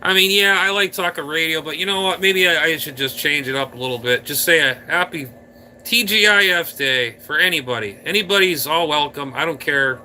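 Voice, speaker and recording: A man's voice: fairly high pitch, a little fast, pushed and bright, slight background noise, poor audio. A man hosting a casual live stream, rambling playfully and talking straight to his viewers from a room indoors.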